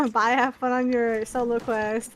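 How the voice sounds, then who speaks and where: A woman's voice: fairly high pitch, flat delivery, a little slow, a little hiss or hum. A woman chatting casually while gaming with friends, with a playful, teasing way of talking.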